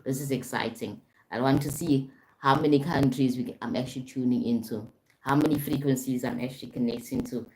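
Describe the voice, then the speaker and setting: Lady with a really deep low voice doing a spiritual livestream, chatty, keeps saying right?. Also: a little fast, dark tone, a little hiss or hum, poor audio. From South Africa. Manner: repetitive, frequent "right?" check-ins. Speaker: livestream host, spiritual coach. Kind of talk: casual live-stream teaching.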